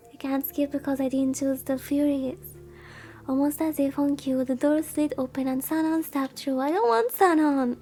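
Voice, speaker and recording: High-pitched woman streamer, mellow voice. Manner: rambling, reacts aloud to the game. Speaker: VTuber streamer. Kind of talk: casual livestream chat mixed with reading a visual novel aloud.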